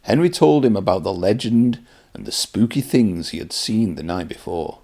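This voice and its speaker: A man's voice: on the low side, expressive, normal speed, creaky, mellow, breathy edge. A man presenting and narrating a read-aloud storybook for children.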